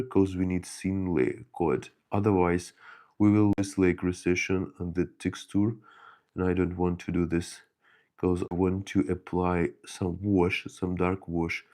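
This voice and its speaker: A man from Ukraine with a Ukrainian accent, hosting a casual miniature painting stream and thinking aloud in a soft, halting way as he works. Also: deep voice, creaky. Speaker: miniature painting channel host. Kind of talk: casual live painting chat.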